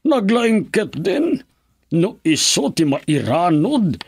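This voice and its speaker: Slow, scheming Ilocano father. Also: high-pitched, big pitch swings, a little mellow, breathy edge, exaggerated voice. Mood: bitter, resolute. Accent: Filipino, Ilocano. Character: scheming, stern father plotting against son-in-law. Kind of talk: radio drama acting.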